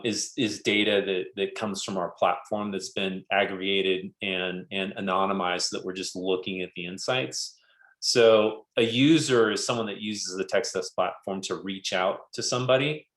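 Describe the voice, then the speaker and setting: A man's voice: fairly low pitch, a bit bright. A man giving an informal business presentation, explaining things step by step and often restating himself. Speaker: webinar presenter. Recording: roomy, echoey sound.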